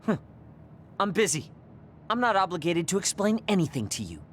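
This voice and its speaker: A man's voice: high voice, sing-song, moderate pace, a little hiss or hum. An actor playing a proud, insecure young male soldier in a video game, irritable and defensive in manner. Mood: bitter. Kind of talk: English video game voice acting.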